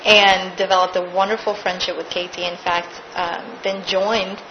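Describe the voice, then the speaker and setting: A woman's voice: lowish voice, little pitch movement, normal speed, highs cut off, noisy background. Actress telling her stage story. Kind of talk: casual interview.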